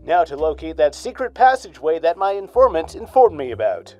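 A man's voice: a little high, very animated, brisk, darkish tone. A man voicing an earnest male pulp hero in a parody of an old-time radio serial, delivered with square-jawed heroic gusto over faint music.

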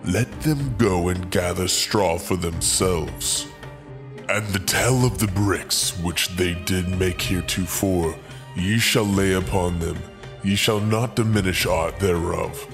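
An actor playing a stern, scornful, domineering king with slow, weighty delivery in a dramatized scripture reading. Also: sits low. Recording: music behind the voice.

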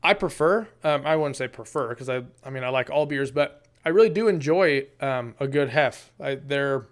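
Chatty man with a smooth voice. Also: mid-range voice, normal speed. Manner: relaxed and rambling, many ums and restarts. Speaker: beer review vlogger. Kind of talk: casual solo review. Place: small room, indoors, green screen. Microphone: desk camera, framed from the chest up.

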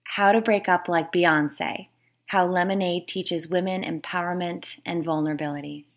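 A woman's voice: on the low side, steady tone, normal speed. A woman who is an author, reading her own book aloud as scripted narration.

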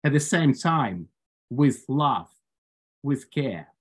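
A man in his forties with a Ukrainian accent, giving a prepared humorous speech at an online club meeting with dry humor and measured phrasing. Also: mid-range voice, sing-song, husky. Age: 40s. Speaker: club speaker. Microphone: video call.

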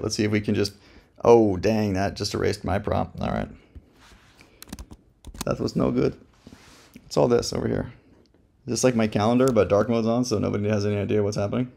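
A man with a gravelly voice, casually reviewing software while thinking aloud in a slangy, joking, laid-back way. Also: on the low side.

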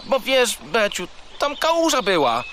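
A man's voice: very high voice, a little slow, background noise, heavily acted. A man voicing a small, furry, childlike male creature, curious and naive, in a children's audio drama. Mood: sheepish. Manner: excitable, opinionated, childlike.

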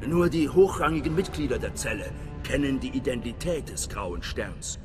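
An actor playing a hearty, wry middle-aged spy station chief in a video game, theatrical and conspiratorial. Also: male voice, medium pitch, expressive, moderate pace, natural voice. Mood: conspiratorial, serious. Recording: long reverb, game music behind.

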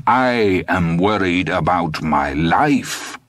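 A man voicing a sinister old man in his seventies who speaks slowly, measured and coldly patient. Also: low-pitched, animated, fairly bright tone, no echo, full character voice. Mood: menacing. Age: 70s.

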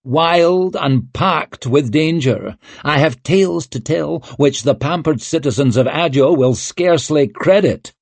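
A man voicing a vain, flattering male writer who speaks in flowery, grandiose phrases. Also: a little high, measured pace, creaky, few pauses, no echo, pitched above the performer's own voice. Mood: pompous.

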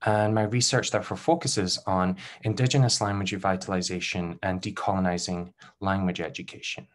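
Low-voiced Scottish man presenting. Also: steady tone. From Scotland. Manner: measured, careful delivery with frequent um fillers. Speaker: doctoral researcher presenting. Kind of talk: recorded conference presentation.

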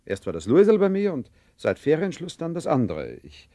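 A man's voice: on the high side, moderate pace. An actor playing a flustered divorced father, nervous and hesitant, in a radio play. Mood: nervous, defensive. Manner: hesitant, excitable, breaking into nervous stammers.

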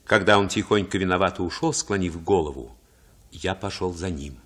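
An actor narrating boyhood memories in a radio drama as a reflective man, husky and expressive, with literary phrasing. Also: low voice, moderate pace. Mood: reflective, tender.

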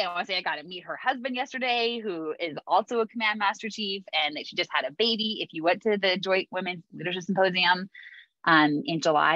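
A woman's voice: lowish voice, a bit bright, dry recording. A woman hosting a Navy mentoring session over a video call, chatty, with many fillers and easy laughs. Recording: dull dry video-call audio.

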